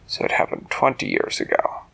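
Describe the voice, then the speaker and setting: A man voicing an elderly great-grandfather in a children's story, in a low, drawling voice. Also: brisk, bright, forceful tone, lightly acted, rough recording. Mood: reminiscing.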